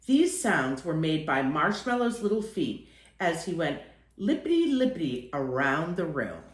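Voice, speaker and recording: Woman, children's storyteller, expressive read-aloud, animated pitch swings, slightly low-key echoing room. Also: measured pace. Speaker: storyteller reading a children's picture book aloud.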